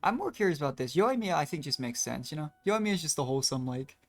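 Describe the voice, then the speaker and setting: A game streamer chats casually while playing, musing aloud. The voice sits at a medium pitch. Faint music plays behind. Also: big pitch swings, quick pace, dry recording.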